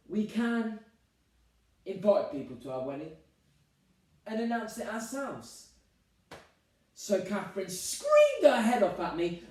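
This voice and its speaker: A man's voice: high voice, darkish tone. A young man playing a harried, put-upon groom in a dramatic stage monologue, theatrical, emphatic and exasperated. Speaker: drama student performer. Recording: very echoey room, distant microphone.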